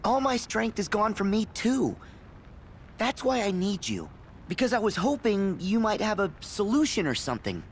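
An actor playing an upbeat, earnest teenage boy hero in an animated fantasy game, eager and sincere. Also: high voice, moderate pace, slight background noise. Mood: dejected.

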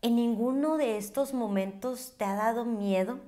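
Female podcast host, slightly high voice, Mexican accent, thirties, casual interviewing. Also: normal speed, dark tone, slight background noise. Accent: Mexican Spanish. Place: indoor dining room. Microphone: podcast microphone on table stand.

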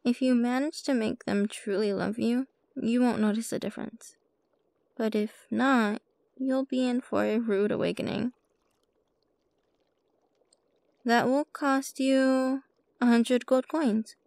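A woman playing a sly, playful witch shopkeeper with a soft heart in an ASMR roleplay, coy and teasing as she addresses the listener. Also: a little high, steady tone, a little slow, creaky, no room sound. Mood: teasing, bargaining. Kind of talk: ASMR roleplay, scripted character monologue.

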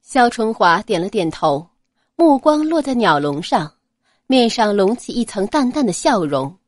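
A woman narrating an audiobook in an expressive, scripted storytelling style. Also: a little high, measured pace, dry recording, studio quality.

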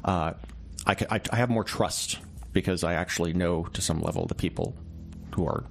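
A man with a fairly low voice talks as a reporter being interviewed on the radio. He is casual and reflective, choosing his words in a measured, halting manner. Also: gravelly. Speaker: newspaper reporter, interview guest.

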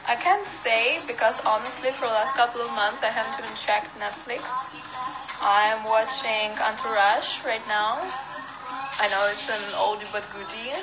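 A woman's voice: on the high side, moderate pace, low-quality recording. A young woman from Russia with a Russian accent, casually chatting with viewers and reading out their comments on a phone livestream from her living room. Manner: relaxed, chatty, laughs often, answers comments one by one. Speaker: model livestreaming to fans. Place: living room of an apartment, indoors. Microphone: phone at arm's length. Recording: phone livestream with music behind. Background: music playing.

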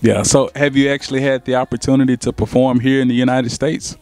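A man's voice: mid-range voice, level tone, fast talker, a little mellow, soft background music. A young man hosting a talk show, speaking in a hyped, promotional way with casual slang into a handheld mic. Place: small studio set with couch.

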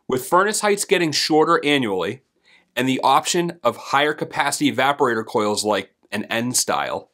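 This is a man in his thirties delivering a prepared instructional lesson while seated at a desk in a home office. His voice sits in a mid range, and he keeps a steady, deliberate pace throughout. He speaks straight to the camera as a trainer would. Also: medium pitch. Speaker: host and HVAC trainer. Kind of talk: scripted instructional explainer.

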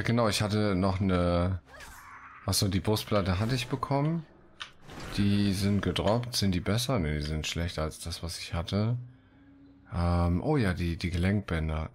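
A man commentating casually on his gameplay as the host of a gaming video. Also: deep voice. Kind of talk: casual let's play commentary, reading quests aloud. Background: game music.

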